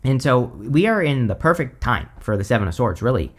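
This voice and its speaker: A man giving a casual tarot reading, hoarse because he is losing his voice, rambling with lots of "like" and "you know". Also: mid-range voice, big pitch swings, brisk. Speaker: tarot reader.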